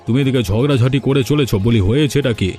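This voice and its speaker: A man's voice: mid-range voice, quick pace, dark tone, soft background music, close to an everyday voice. A man voicing a grumpy, scheming old village husband in a cartoon, irritable and argumentative as he grumbles. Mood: irritated. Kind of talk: cartoon voice acting.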